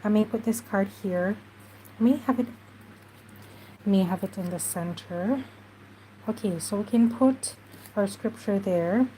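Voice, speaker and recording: A woman talks slowly and unhurriedly, a little stuffy in the nose. She chats casually as she works through a craft project, with faint room ambience behind her. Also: mid-range voice, mellow, slight background noise, rough recording. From Jamaica. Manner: chatty, unhurried, talks while working. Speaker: craft journaling host. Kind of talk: casual craft tutorial chat.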